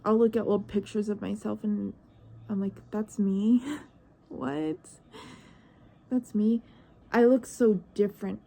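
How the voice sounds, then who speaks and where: Nineteen-year-old woman, vlogger; hesitant, reflective monologue, dark mellow tone. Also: mid-range voice, fairly even intonation, slow, gravelly. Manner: searching, hesitant, trails off into questions.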